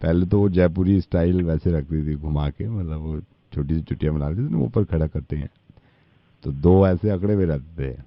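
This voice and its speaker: Man playing a low, solemn, apologetic father. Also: dry recording, highs cut off. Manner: slow, apologetic, paternal, deadpan. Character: solemn middle-aged father of a prospective bride.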